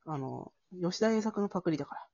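A woman hosts a casual, playful podcast with a husky, slightly low voice. Her pitch moves around a lot as she teases and steers the chat. The audio is a bit dull and lo-fi. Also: a little fast. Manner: teasing, animated, takes the lead. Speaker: podcast host.

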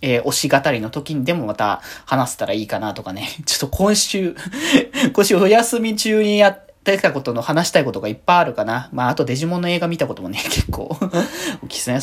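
Lively low-voiced woman chatting, slightly husky. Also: brisk. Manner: animated, giggly, rambling. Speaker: solo podcast host and streamer. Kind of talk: casual diary-style chat.

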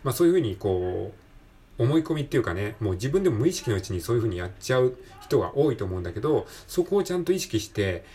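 A man's voice: medium pitch, sing-song, fast, dark tone. A man hosting a solo podcast, talking casually and encouragingly, often checking in with the listener for agreement. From Japan. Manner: encouraging, conversational, repeatedly seeking agreement. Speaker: podcast host. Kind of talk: casual solo motivational talk.

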